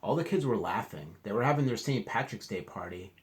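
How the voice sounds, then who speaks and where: A man doing an expressive read-aloud for children, chatting to his young listeners in asides and asking them questions directly. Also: lowish voice, fairly quick. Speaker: children's storybook reader, teacher.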